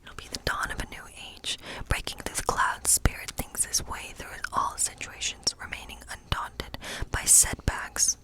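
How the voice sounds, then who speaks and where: A woman whispering gently and breathily in an ASMR role play as a kindly witch who reads tarot. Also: rough recording. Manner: gentle, slow, soothing reassurance. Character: kindly witch reading tarot in her woodland cottage. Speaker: ASMR performer playing a witch.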